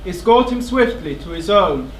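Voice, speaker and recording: A man's voice: sits high, expressive, normal speed. A man on stage playing a stern, dutiful but pitying royal kinsman in a classical tragedy, speaking formally and with command. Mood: solemn, commanding. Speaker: stage actor. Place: indoor theatre stage. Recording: echoey theatre, distant microphone, faint noise.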